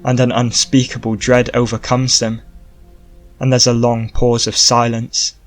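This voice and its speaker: A man narrating a fan-written script as an audiobook, slow and measured. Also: mid-range voice, monotone, faint music behind. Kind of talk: reading a fan script aloud.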